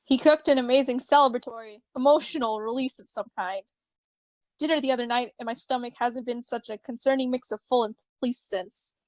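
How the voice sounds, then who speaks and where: Woman playing a wry young pal, high voice, dry close sound, rambling and teasing, amateur audio drama. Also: fairly even intonation, a little fast, no room sound, lightly acted. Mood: chatty, wry. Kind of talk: amateur group fan fiction reading.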